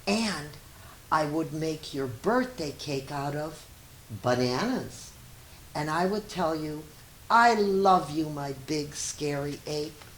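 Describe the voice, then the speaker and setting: A woman's voice: deep voice, lively intonation, slow. A woman voicing a loving, patient mother who reassures her small child in a storybook read-aloud. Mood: tender, reassuring. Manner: tender, emphatic reassurance. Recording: roomy echo with faint hiss.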